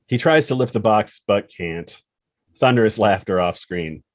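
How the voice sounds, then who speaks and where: A man's voice: on the low side, lively intonation, normal speed, dry recording, clean studio sound. A man reading scripted screenplay action and scene headings as the narrator.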